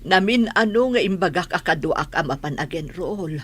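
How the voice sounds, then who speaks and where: A woman acts out an old Filipino village grandmother for a radio play. The voice is husky and on the low side, plain-spoken and worried. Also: animated, normal speed, a bit bright. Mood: worried, resigned. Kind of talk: radio drama acting.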